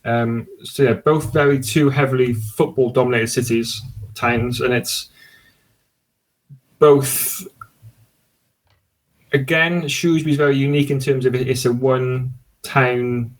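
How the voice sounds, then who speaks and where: A man talks as a podcast guest in an easy, enthusiastic ramble. His voice sits at an ordinary middle pitch, and he often stops and restarts his sentences. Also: fairly even intonation, a little slow, long pauses, low-quality recording. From Cardiff, Wales. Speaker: podcast guest and sports podcast host. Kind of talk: casual podcast conversation.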